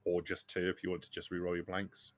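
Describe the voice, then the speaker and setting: A man's voice: low voice, monotone, fairly quick, no echo, clean studio sound. A man acting as game master, explaining the rules of a tabletop role-playing game.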